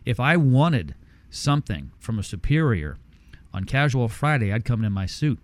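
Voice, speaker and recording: A man's voice: mid-range voice, big pitch swings, normal speed. A man hosting a radio show, talking in an upbeat, salesy, emphatic way in a studio. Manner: salesy, emphatic, repeats phone numbers. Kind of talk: upbeat radio talk and read ads.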